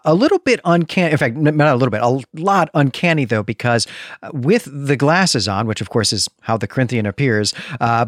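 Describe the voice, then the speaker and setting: A man speaks as a podcast co-host in a casual review discussion. His medium-pitched voice is measured yet enthusiastic, often qualifying itself with small asides, and the sound is close and dry. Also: no echo.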